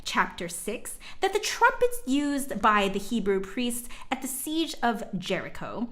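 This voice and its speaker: A woman's voice: on the high side, big pitch swings, measured pace, fairly bright tone. A woman livestream host reading an old text aloud dramatically, breaking off for informal, chatty asides to viewers.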